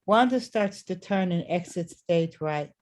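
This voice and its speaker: A woman's voice: lowish voice, expressive, unhurried, a little mellow. A woman reading scripted stage directions as the narrator of a play.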